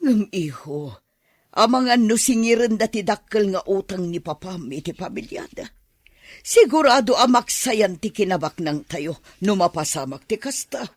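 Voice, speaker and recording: A woman's voice: mid-range voice, expressive, normal speed, no room sound, clean studio sound, natural voice. A woman playing an anxious, placating middle-aged mother in an Ilocano radio drama, coaxing and worried.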